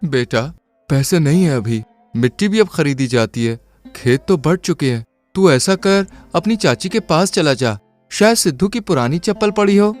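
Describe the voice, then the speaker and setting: A worn-down village potter and father in a cartoon storybook, voiced by a man. He talks in a heavy, despairing way, lower than the narration. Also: expressive, moderate pace, faint music behind, natural voice. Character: struggling poor potter father, ashamed.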